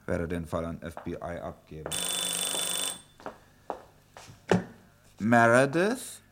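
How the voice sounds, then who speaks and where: An actor playing a brisk, commanding police inspector in a German radio crime play, curt and sardonic. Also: male voice, sits low, big pitch swings, vocal fry, fairly bright tone, slight background noise, full character voice. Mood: weary, grumbling.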